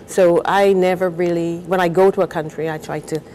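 An older woman from Guyana, a novelist, talking and reading aloud in a measured, thoughtful way on a TV studio set. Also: on the low side, fairly even intonation, dark tone, slight background noise, rough recording. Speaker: novelist guest.

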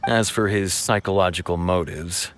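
A man's voice: low-pitched, fairly even intonation, moderate pace, a bit bright, slight background noise. A man playing a dutiful, slightly exasperated police officer explaining things formally in a video game. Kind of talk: video game character acting.

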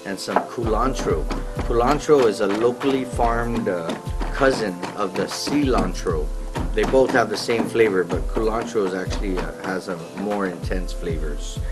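Middle-aged male chef-host, lowish voice, relaxed informal cooking demo on an outdoor patio, music behind. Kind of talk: casual cooking demonstration.

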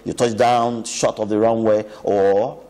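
Low-voiced aviation expert, reverberant. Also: male voice, flat delivery, a little slow, warm tone. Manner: earnest explaining, repeats words. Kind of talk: explanatory TV interview.

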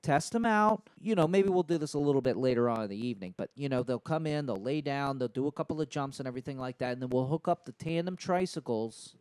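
A man in his thirties playing an eager, scheming dad making a rambling, persistent sales pitch on a prank call. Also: expressive, quick pace, few pauses, darkish tone, no echo, close to an everyday voice. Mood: eager, persuasive. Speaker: prank caller. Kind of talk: improvised prank phone call. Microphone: handheld microphone held close to mouth.

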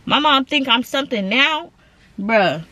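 A woman's voice: sits high, expressive, a little slow, bright, forceful tone, slight background noise. Chatty young woman vlogging from a car. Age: 20s. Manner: chatty, slangy, addresses viewers as y'all. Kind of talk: casual chat to camera. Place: inside a parked car.

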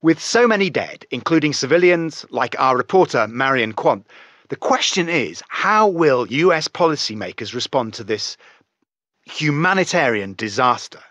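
A serious TV news anchor for a video game, acted by a man. He reads scripted bulletins formally and with measured emphasis. His pitch moves around a great deal, and the sound is very dry. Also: animated, fairly bright tone, dry recording, close to an everyday voice. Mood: grave, formal.

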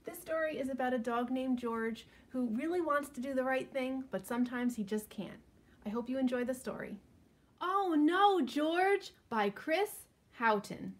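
A woman in her thirties giving an expressive picture-book read-aloud, speaking directly to young children. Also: high voice, normal speed. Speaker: children's librarian reading at story time. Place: indoors, living room at home.

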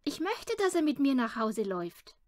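A woman voicing an eager, stubborn little boy in a storybook, emphatic and excitable. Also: high-pitched, normal speed. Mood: eager, excited.

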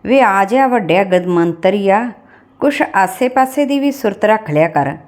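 A woman's voice: mid-range voice, expressive, unhurried, darkish tone, natural voice. A woman narrator voicing a spiteful, sarcastic village wife in a story, taunting and scolding. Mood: curt scolding. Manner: sarcastic, nagging.

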